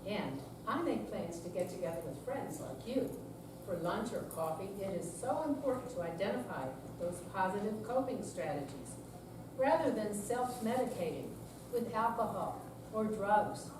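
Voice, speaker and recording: A woman's voice: medium pitch, lively intonation, moderate pace, natural voice. An older woman in a staged reading, playing a candid seventy-year-old woman who is weary but resolute about managing depression. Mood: resolute, earnest. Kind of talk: scripted dramatic reading. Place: senior center room, live performance. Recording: echoey room, distant mic, clear background noise.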